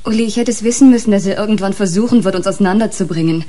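An actress playing a troubled, earnest woman who speaks in a halting, confiding way in a radio crime play. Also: medium pitch, warm tone, natural voice. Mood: pleading. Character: troubled, pleading woman wanting to get away.

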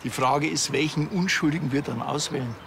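A man's voice: a little high, a little fast, gravelly, a bit bright, slight background noise. An actor playing a sceptical, world-weary middle-aged policeman with a Bavarian accent in a comic TV crime drama. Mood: resigned. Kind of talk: dry comic TV crime dialogue.

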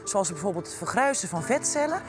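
A woman's voice: medium pitch, a little fast. A woman in her forties presenting a TV segment and asking interview questions. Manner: lively, scripted intro reading. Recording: clear background music.

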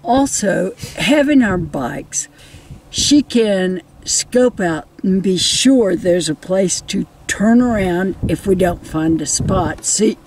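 A woman co-hosting a travel vlog in casual chat, adding to her partner's points in a measured way. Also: mid-range voice, lively intonation, darkish tone, a little hiss or hum, rough recording.

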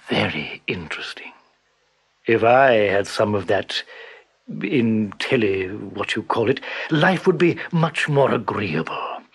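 A sly, menacing tiger, put on by a man reading a storybook. The voice purrs low and slow, sliding into husky half-whispers. Its pitch rolls lazily up and down as it schemes. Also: full character voice.

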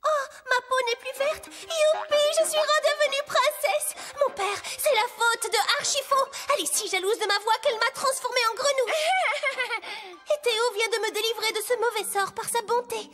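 An actress playing a sweet, cheerful young princess in a cartoon, girlish and gentle. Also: very high-pitched, steady tone, normal speed, music in the background. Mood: relieved, joyful.

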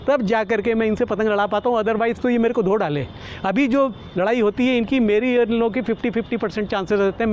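A man's voice: sits high, darkish tone, muffled recording. A middle-aged man giving casual, explanatory vlog commentary to his viewers, talking to them like friends. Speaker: kite flyer presenting his vlog. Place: outdoors on an open rooftop. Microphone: clip-on lapel microphone. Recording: outdoor urban ambience, traffic noise. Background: faint outdoor city and vehicle noise.

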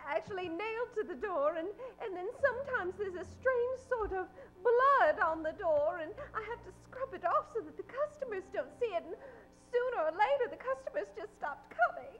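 A woman's voice: very high-pitched, a bit bright, muffled recording. A middle-aged woman playing a fretful, sweetly fussy dressmaker in old-time radio comedy, hesitant and quavering. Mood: distressed. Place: theatre stage. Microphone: vintage-style stand microphone.

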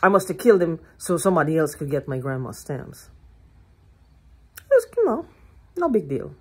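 An older woman ranting to her phone camera in a living room, heated, profane and repetitive, with a hoarse throat. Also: low-pitched, wildly swooping pitch, moderate pace. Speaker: vlogger. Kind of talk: agitated rambling monologue to camera. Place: living room at home, indoors. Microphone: phone held at arm's length.

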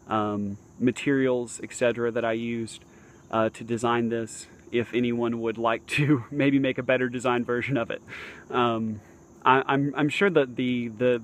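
Male hobbyist vlogger, mid-pitched, hesitant and unassuming, explaining informally into a handheld camera outside. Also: a bit bright, a little hiss or hum. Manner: hesitant, halting, modest. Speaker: amateur radio hobbyist showing his antenna. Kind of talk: casual unscripted explanation to viewers. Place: outdoors on a house roof among trees.

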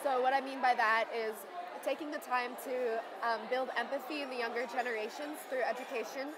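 A woman's voice: high-pitched, steady tone, fairly quick, crowd noise, noisy background. A young woman from the United States speaking thoughtfully to camera indoors, with some fillers. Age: young adult. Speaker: interviewee. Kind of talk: casual speech to camera. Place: busy indoor dining room. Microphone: earbud headset mic.

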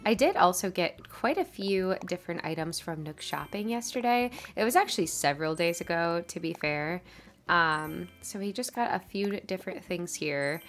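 A woman's voice: on the low side, lively intonation, moderate pace, fairly bright tone, faint music behind. A woman with a stuffy, congested nose, chatting casually over her gameplay as a channel host. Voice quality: slightly nasal. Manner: chatty, upbeat, rambling.

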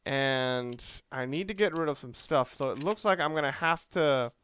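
Smooth-voiced man rambling over a game. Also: mid-range voice, normal speed, a bit bright. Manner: rambling, thinking aloud. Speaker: let's player. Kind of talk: casual game commentary.

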